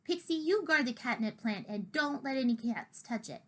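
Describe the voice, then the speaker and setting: A woman's voice: high voice, lively intonation, moderate pace, a bit bright. A woman voicing a brave young girl in a storybook, firm and urgent.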